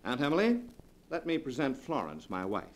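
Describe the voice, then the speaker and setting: A suave, scheming nephew, talks in a mocking, sardonic way, and his pitch rises and falls widely. It is classic 1940s radio melodrama acting. The old broadcast recording gives it a dated sound. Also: huge pitch swings, brisk, background noise.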